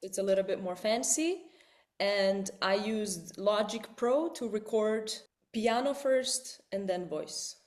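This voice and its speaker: Lively woman presenting a webinar. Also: medium pitch, slow. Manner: lively, repeats words, asks listeners "right?". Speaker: webinar presenter and vocal coach. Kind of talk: live online webinar demo.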